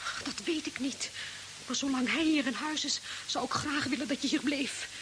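Actress as an anxious, high-voiced farm wife. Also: female voice, normal speed, lightly acted. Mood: uneasy, pleading. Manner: fearful, pleading. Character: anxious, frightened farm wife, insistent and pleading. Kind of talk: 1950s radio drama acting. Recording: hissy old radio drama recording.

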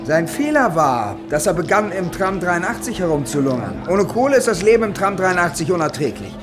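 An actor playing a domineering narrator of a seedy city in a literary radio drama, with a commanding, declamatory delivery. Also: male voice, sits high, sing-song, a little fast. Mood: storytelling. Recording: music behind the voice. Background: music.

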